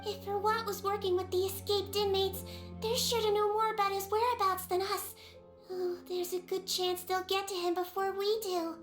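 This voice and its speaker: A woman voicing a small, childlike head nurse in a video game, gentle, polite and earnest in a measured way. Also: very high voice, level tone, echoey room, faint music behind. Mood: concerned.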